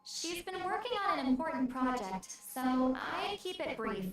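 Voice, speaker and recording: A woman's voice: sits high, sing-song, normal speed, fairly bright tone. A woman voicing an offhand, slightly grudging young woman in a video game, speaking casually. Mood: hesitant, musing. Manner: casual, reluctant, a bit grudging. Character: offhand, grumbling young woman used to giving orders. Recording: echoey, distant, faint music.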